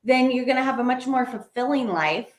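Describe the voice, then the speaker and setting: A woman's voice: high-pitched, fairly quick. Woman co-host explaining, roomy echo. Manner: steady, explanatory, lists questions, light laughs. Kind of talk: casual conversational advice.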